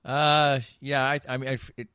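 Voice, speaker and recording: A man's voice: medium pitch, flat delivery, normal speed, pushed and bright, clean tone, no echo, clean studio sound. A middle-aged man co-hosting a podcast, telling stories in casual banter with a rambling, emphatic delivery. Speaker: podcast co-host.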